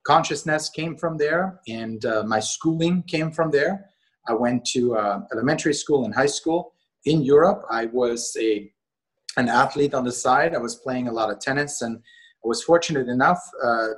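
A middle-aged man from Austria speaks as a guest on a talk show. His voice is husky and his pitch is ordinary for a man. He talks in a measured, unhurried way and pauses often on small fillers. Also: fairly bright tone. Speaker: guest, company co-CEO. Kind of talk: interview conversation.

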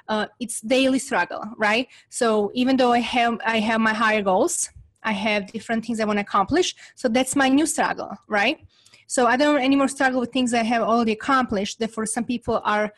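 Woman, Serbian accent, slightly high pitch; earnest interview guest who keeps checking in with "right?". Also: fairly bright tone. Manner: earnest, repeats "right?" often. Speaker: guest entrepreneur. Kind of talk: motivational interview talk.

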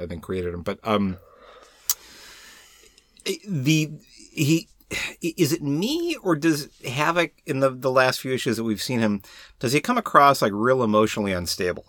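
Low-voiced man in halting, casual chat. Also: dry recording. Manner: halting, repeats words, animated asides. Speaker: podcast co-host. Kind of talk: casual comic book discussion.

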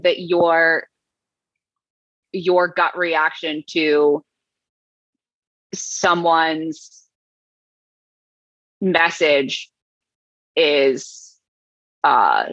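A woman co-hosting a podcast speaks very slowly and haltingly, pausing to find her words. Her voice is bright and forceful. The recording is dry and a little dull. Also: low-pitched, dry recording. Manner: slow, halting, searching for words. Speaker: podcast co-host. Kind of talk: casual chat. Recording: dry, slightly dull mic sound.

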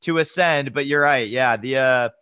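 A man co-hosting a casual sports podcast, talking in a bright, forceful voice. He rambles, hesitates and repeats himself as he goes. The sound is very dry, with no room around it. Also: medium pitch, normal speed, smooth, no echo. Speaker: podcast co-host. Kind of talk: casual chat.

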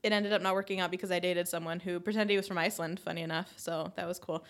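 A woman's voice: fairly low pitch, steady tone, fast. A woman in her late thirties co-hosting a podcast, chatting casually and telling a rambling anecdote with frequent laughter. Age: late 30s. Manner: chatty storyteller, laughs often. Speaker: podcast co-host. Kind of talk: casual chat.

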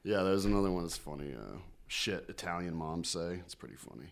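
A man's voice: sits low, lively intonation, a little fast, creaky. A man co-hosting a podcast, laid-back and crude in casual chat. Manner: laid-back, crude, drops into mock voices. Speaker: podcast co-host.

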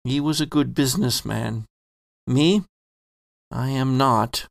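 A man voicing a kindly middle-aged landlord, telling his thoughts in a soft, reflective first-person monologue. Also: medium pitch, slow pace, creaky, dry recording, studio quality.

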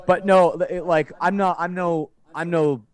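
A man's voice: sits high, steady tone, a little slow, clear, resonant tone. A man in his forties, a guest talking thoughtfully in a casual chat, often restarting sentences. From Canada. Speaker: guest musician.

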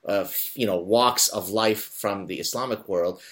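A man with a fairly low voice hosts a conversation, and his first language is Arabic. He tells his story with emphasis and animation, often stopping to correct himself. His voice rises sharply when he makes a point. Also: moderate pace, fairly bright tone. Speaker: host.

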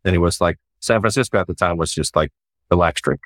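A man, a startup founder answering interview questions in a relaxed, reflective way with many "you know" fillers. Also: low-pitched, quick pace, dry recording, studio quality. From Sweden.

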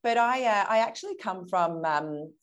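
A woman's voice: medium pitch, expressive, moderate pace. An Australian woman in her early thirties tells her personal story as an interview guest, in a candid, self-assured, conversational way. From Perth, Australia.

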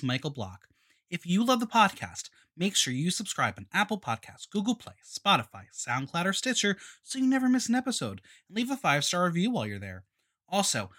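A man's voice: high voice, fairly bright tone. A man hosting a podcast, chatting in an upbeat, casual way with small restarts. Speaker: podcast host. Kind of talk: casual interview. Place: home in New York.

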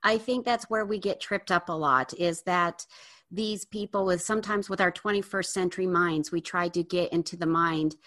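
Low-voiced woman interviewer. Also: normal speed, a bit bright. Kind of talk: conversational interview.

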